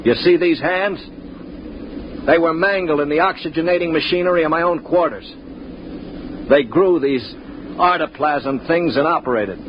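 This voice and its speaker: A man's voice: fairly high pitch, moderate pace, pauses often, slight background noise, close to an everyday voice. A man playing a level-headed, world-weary prisoner who also narrates a 1950s radio drama in an earnest, dry way. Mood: grim, matter-of-fact. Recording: muffled 1950s radio recording.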